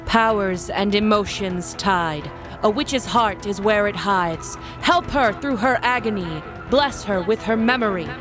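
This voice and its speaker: A woman playing a solemn, commanding witch leader in an audio drama, formal and measured. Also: medium pitch, natural voice, poor audio. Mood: incanting. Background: music.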